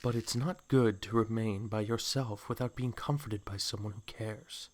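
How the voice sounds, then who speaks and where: A man's voice: lowish voice, steady tone, normal speed, a little mellow, a little hiss or hum, close to an everyday voice. A man acting a suave, possessive snake man in a comic dub, coaxing with flowery, condescending endearments. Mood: smooth persuasion. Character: suave, possessive snake man courting his captive love.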